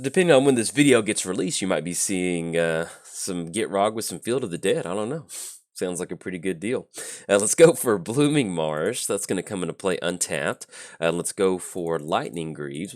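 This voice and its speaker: A man with a raspy, not yet warmed-up voice, casually narrating a card game as a channel host in an upbeat, chatty way. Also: sits low.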